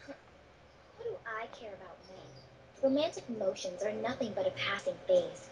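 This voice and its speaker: A woman playing a moody, headstrong teenage high school girl in an anime fan dub, blunt and brusque, heard as distant playback. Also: lively intonation, moderate pace, a crowd in the background, natural voice. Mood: dismissive.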